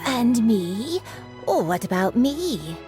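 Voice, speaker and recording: A slow, dreamy, forgetful granny. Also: female voice, on the high side, sing-song, over music. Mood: musing. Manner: wandering, musing. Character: gentle, forgetful, dreamy old grandmother. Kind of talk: video game voice acting.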